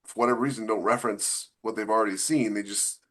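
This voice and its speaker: A man's voice: lowish voice, fairly even intonation, quick pace, a bit bright. A man co-hosting a podcast, explaining things casually and with opinionated emphasis. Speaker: podcast co-host.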